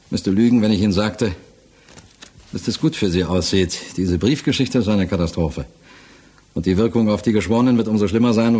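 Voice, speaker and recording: A defence lawyer with a low, rough-edged voice. He talks in a conversational, slightly weary way, blunt and pessimistic, in 1960s radio drama style. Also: darkish tone. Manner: conversational, hesitant asides, frank. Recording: mono 1960s radio recording.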